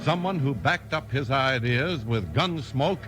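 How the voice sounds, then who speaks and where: A man's voice: medium pitch, expressive, a little slow, music in the background. A man with an American accent delivering scripted 1950s radio announcements in an emphatic, selling manner.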